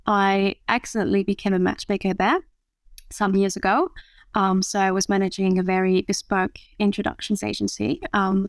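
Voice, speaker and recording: A woman in her thirties speaks as a podcast guest, her voice flat in pitch and touched by gravelly fry. She answers thoughtfully, pausing on fillers and restarting phrases. The sound is close and dry. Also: dry recording. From France. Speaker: guest, matchmaker. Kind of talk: interview conversation.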